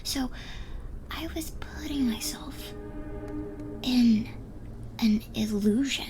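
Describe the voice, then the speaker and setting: A woman's voice: on the high side, takes it slowly, music in the background. A young woman playing a drunk, giddy, smitten rival in an ASMR roleplay, rambling and stammering as she trails off. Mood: tipsy explaining.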